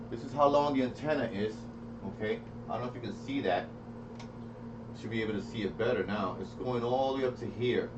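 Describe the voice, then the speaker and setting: Muffled lo-fi audio, faint noise, man, mid-pitched voice, casual product reviewer, thinking aloud, repetitive. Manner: rambling, thinking aloud, repeats himself. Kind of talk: casual unscripted product demo. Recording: dull lo-fi sound with faint noise.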